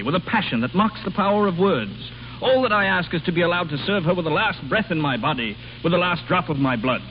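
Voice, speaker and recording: An actor playing an earnest, clumsy American waiter in a vintage radio comedy, pleading with florid, servile politeness. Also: male voice, fairly high pitch, close to an everyday voice. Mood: fervent devotion. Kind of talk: 1930s-40s radio comedy acting. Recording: dull, noisy old radio broadcast.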